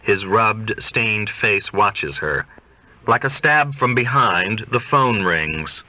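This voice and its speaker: Low-voiced man narrating a novel, dull recording. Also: animated, slow, a little hiss or hum. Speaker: audiobook reader. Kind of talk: literary scripted narration. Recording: dull, dry, lo-fi audio.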